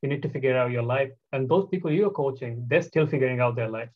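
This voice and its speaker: A man's voice: mid-range voice, fast talker. A man hosting a podcast, talking casually and searching for words with many fillers. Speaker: podcast host. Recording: dull lo-fi sound.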